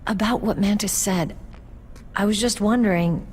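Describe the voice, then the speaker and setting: A woman's voice: medium pitch, moderate pace, darkish tone, slight background noise, natural voice. A woman playing an earnest young female soldier in a video game, self-doubting and curious, with a tentative, questioning delivery. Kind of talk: video game cutscene acting.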